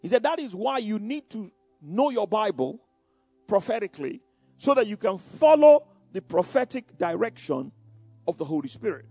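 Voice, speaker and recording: A man's voice: high-pitched, very animated, moderate pace, warm tone, husky, no echo, soft background music. An older man with a Nigerian Yoruba accent, preaching and recounting his past, who often repeats words and says "you know". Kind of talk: sermon-like personal testimony.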